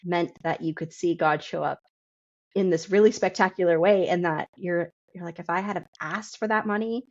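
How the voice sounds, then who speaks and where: Female podcast host, lowish voice, reflective and conversational, thinking aloud, on slightly dull audio. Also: steady tone, moderate pace.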